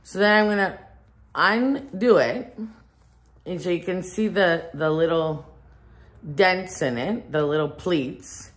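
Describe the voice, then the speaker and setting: Slow-talking woman, lower-pitched. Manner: chatty, thinking aloud. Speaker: home decor vlogger. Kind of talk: casual step-by-step decorating demonstration. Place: furnished living room at home, indoors.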